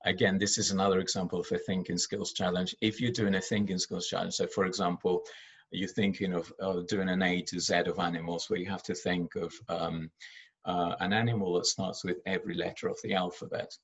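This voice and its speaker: A man's voice: low voice, little pitch movement, a little fast, slightly rough. A man from Argentina, a native Spanish speaker and former head teacher, giving a teaching presentation in English with many fillers and restarts.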